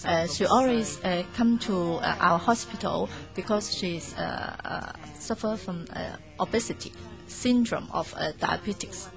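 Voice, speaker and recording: A woman with a Hong Kong accent talks in a gravelly, creaky mid-range voice. She gives a halting interview account, searching for English words. Soft music sits behind her. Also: mid-range voice.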